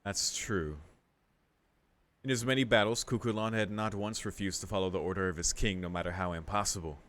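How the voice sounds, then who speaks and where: A man's voice: lowish voice, fairly even intonation, brisk, fairly bright tone. A man streaming a visual novel, reading the story text aloud and adding casual, musing commentary with some swearing.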